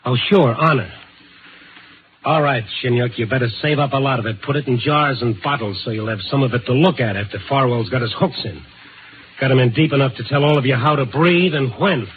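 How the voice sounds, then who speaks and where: An American actor playing a tough, sardonic newspaper reporter in a 1950s radio drama. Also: male voice, mid-range voice, a little fast, a little hiss or hum, close to an everyday voice. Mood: bitter, sardonic. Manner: hard-boiled, sardonic. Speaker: lead actor and narrator. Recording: muffled 1950s radio recording, music behind.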